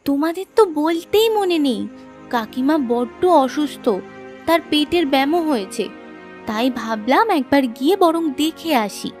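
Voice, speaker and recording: A woman's voice: high voice, expressive, normal speed, a little mellow, soft background music. A woman voicing an earnest, caring young granddaughter in a cartoon. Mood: practical, caring. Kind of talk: cartoon voice acting.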